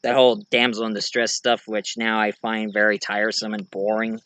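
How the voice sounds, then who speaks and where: Bright, clear-voiced man, slightly high, casually presenting his story characters, halting and correcting himself, close dry sound. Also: flat delivery. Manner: rambling, halting mid-sentence with self-corrections. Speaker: amateur writer and online video creator. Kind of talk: casual show-and-tell of own story characters.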